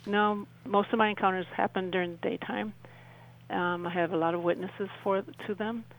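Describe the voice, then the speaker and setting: An older woman, around her sixties, talking as an interview guest in a halting, rambling way and often rephrasing mid-sentence. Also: medium pitch, fairly even intonation, poor audio. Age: older, about 60s. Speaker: interview guest, UFO experiencer. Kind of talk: conversational radio interview storytelling.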